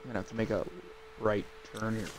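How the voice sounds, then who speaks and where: A man casually commenting while he plays a game, in a calm, fairly monotone voice that drifts into rambling asides. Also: on the low side, quick pace, noisy background. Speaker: gameplay streamer.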